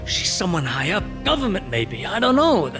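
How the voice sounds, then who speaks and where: An actor playing a cowardly, cornered mob boss in a game, whining and pleading. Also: male voice, high-pitched, huge pitch swings, moderate pace, music in the background, heavily acted. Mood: panicked pleading.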